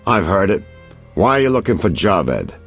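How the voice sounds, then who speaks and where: Knowing, insinuating game detective: husky, creaky, very low, animated. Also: male voice, moderate pace, soft background music, full character voice. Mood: sly, knowing. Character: sly, knowing male private detective selling information. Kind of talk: video game character acting.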